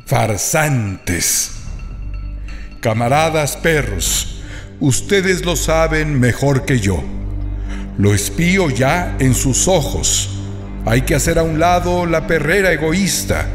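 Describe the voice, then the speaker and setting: A man giving a dramatic, declamatory reading of a literary speech. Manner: declamatory, rhetorical, shifting pitch for emphasis. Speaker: reader. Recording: reading over music.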